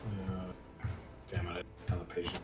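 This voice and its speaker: A man's voice: low-pitched, flat delivery, moderate pace. A man chatting and bantering casually as a player in a tabletop game. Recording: echoey room with clear background music.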